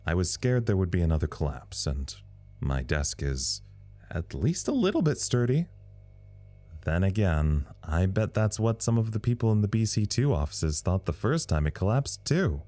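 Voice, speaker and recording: An actor playing a weary, self-deprecating communications officer in an audio drama, talking in a rambling, wry way. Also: male voice, low voice, sing-song, normal speed, warm tone. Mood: rambling, wry, nervous. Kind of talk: audio drama recorded log monologue.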